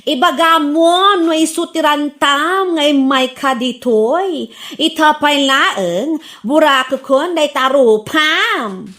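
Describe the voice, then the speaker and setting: Woman acting a high, feisty Ilocano scolder. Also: fairly bright tone. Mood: angry scolding. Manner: brash, taunting, drawn-out. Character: feisty, scolding Filipino who picks fights unafraid. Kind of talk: Ilocano radio comedy drama acting.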